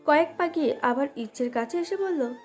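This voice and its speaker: A woman's voice: high voice, animated, a little fast. A woman reading a scripted romantic story as its narrator. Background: background music.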